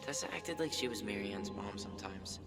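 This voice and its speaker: A man's voice: lowish voice, expressive, brisk, over music. A man playing an earnest, fair-minded young man in a naturalistic video game cutscene. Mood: concerned. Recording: clearly noisy game stream, ambience.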